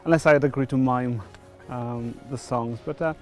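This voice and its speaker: Halting Welsh man with flat delivery. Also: mid-range voice, faint music behind. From Wales. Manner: hesitant, many fillers and restarts. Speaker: church member before baptism. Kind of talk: testimony interview.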